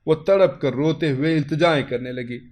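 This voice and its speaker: A man's voice: on the high side, moderate pace, a little mellow. A man reading a scripted first-person story as its narrator, in a dramatic style.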